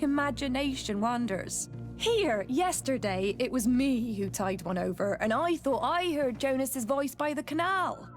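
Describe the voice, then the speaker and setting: Frank sailor, music behind. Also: female voice, high voice. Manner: colloquial, frank. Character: plain-spoken, loyal female sailor, easygoing. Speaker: voice actor in a video game. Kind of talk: scripted fantasy game dialogue.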